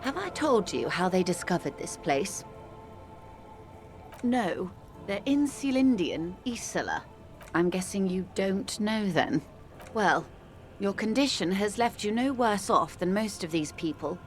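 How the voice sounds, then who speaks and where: An actress playing a wealthy, cultured negotiator in a video game, wry, composed and condescending, with refined, dryly ironic delivery. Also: female voice, mid-range voice, expressive, unhurried, soft background music, close to an everyday voice. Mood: measured, condescending. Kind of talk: video game dialogue acting.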